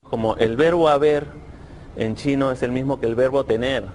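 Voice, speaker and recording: A man's voice: mid-range voice, steady tone, normal speed, darkish tone. A man in an interview explaining grammar, correcting himself as he goes. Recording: faint background noise.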